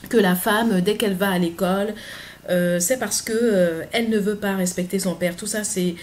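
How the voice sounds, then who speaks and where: Earnest woman, halting speech. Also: mid-range voice, steady tone, a little mellow, a little hiss or hum. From Côte d'Ivoire. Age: middle-aged. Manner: earnest, hesitant, many filler pauses. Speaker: author. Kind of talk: personal vlog monologue.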